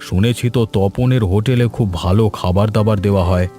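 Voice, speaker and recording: A man voicing a pompous, authoritative village landlord in a cartoon folk tale, stern and deliberate. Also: lowish voice, mellow, soft background music, lightly acted. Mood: stern, pondering. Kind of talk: animated folk tale voice acting.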